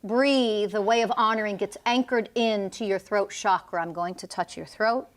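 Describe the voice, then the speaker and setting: A woman's voice: mid-range voice, normal speed. A woman energy healer guiding a client through a healing session, commanding and intense, with blunt swearing.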